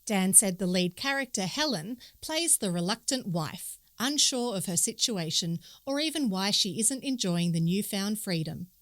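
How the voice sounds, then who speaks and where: A woman reads a written feature aloud as scripted narration. Her pitch sits in the middle for a woman. The recording is dry, with very little room around the voice. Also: lively intonation, normal speed, hardly any pauses, fairly bright tone, dry recording. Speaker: newspaper article reader.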